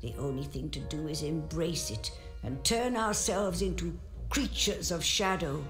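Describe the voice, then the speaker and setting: A woman's voice: sits low, measured pace, music in the background. A woman playing a grave, protective, motherly weaver turned swan in a fantasy game drama. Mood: grave, tender.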